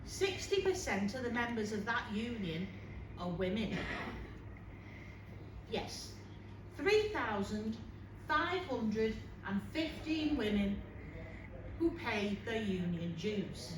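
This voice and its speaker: A woman playing a rousing working-class suffragist, giving an emphatic rally speech with deliberate pauses on stage. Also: fairly high pitch. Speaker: actor performing a monologue. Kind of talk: theatrical political rally speech. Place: public hall, live performance before an audience. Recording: roomy, distant, noisy hall recording.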